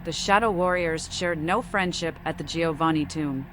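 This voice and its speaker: Woman acting a low-voiced mad vampire seer. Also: normal speed, a little hiss or hum, natural voice, poor audio. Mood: cryptic, knowing. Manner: cryptic, theatrical. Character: mad female vampire seer speaking in cryptic riddles. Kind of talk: video game protagonist voice-over. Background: music.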